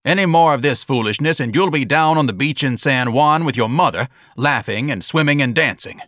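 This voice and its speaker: A man's voice: lowish voice, animated, a little fast, sharp tone, dry recording, clean studio sound. A man voicing an irritable, sarcastic husband who speaks bluntly, in an audiobook story. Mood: angry threat. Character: gruff, exasperated, sarcastic husband.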